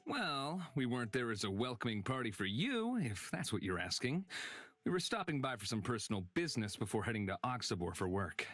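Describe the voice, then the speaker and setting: A man's voice: mid-range voice, very animated, a little fast, a bit bright, no echo, faint music behind. A man voicing a smug, flippant bounty hunter in a visual novel, sardonic and playful with a drawl. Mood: amused, casual.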